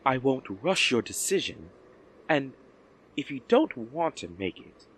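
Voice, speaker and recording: A man playing a calm, measured official in an audio drama. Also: mid-range voice, husky, exaggerated voice. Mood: calm, businesslike.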